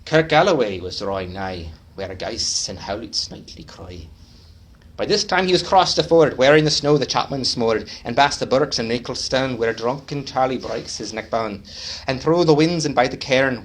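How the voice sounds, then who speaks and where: A man giving a dramatic poetry recitation at a speaking club in a put-on Scottish brogue, swinging from loud declaiming to hushed low lines. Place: club meeting room.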